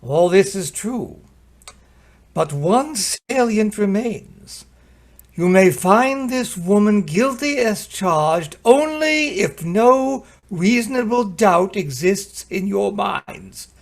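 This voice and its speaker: A man's voice: high-pitched, expressive, takes it slowly, exaggerated voice. A man playing a stern, caustic judge with a ponderous, formal manner in an old-time radio drama. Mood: caustic, grave. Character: caustic, stern male judge charging a jury. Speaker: actor in an amateur radio play.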